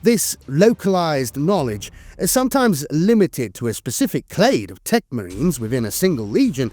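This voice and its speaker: Expressive, higher-voiced man narrating lore. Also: normal speed, faint music behind. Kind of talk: scripted lore narration, in-world chronicler.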